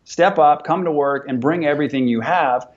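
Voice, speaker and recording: A man's voice: on the high side, moderate pace. Middle-aged businessman guest, upbeat and motivational, restarts his sentences, dull lo-fi recording. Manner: energetic, motivational, repeats words when starting sentences. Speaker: business owner and podcast guest. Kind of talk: conversational interview.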